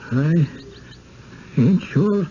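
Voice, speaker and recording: Radio play role: scared, mulish old rustic man, rural American, high and very animated, slightly noisy muffled recording. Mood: wary, resigned. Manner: folksy, halting, balky. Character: frightened, stubborn old rustic village man. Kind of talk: radio drama acting.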